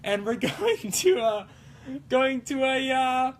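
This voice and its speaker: A man doing a high, excitable cartoon Italian guy. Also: slow, slight background noise, full character voice. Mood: playful, explaining. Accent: mock Italian. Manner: exclamatory, playful, sing-song broken English. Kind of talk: live-streamed prop-making vlog in a put-on accent.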